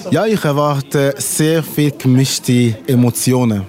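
A middle-aged man from Congo whose first language is Lingala, a musician talking in an unhurried, word-searching way in an interview at an outdoor festival. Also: medium pitch, a crowd in the background. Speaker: musician guest. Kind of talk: casual interview.

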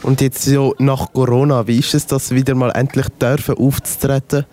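Male interviewer, casual, dark relaxed voice, informal questions at an open-air festival. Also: mid-range voice, moderate pace, slight background noise. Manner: informal, loosely phrased questions. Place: outdoor music festival.

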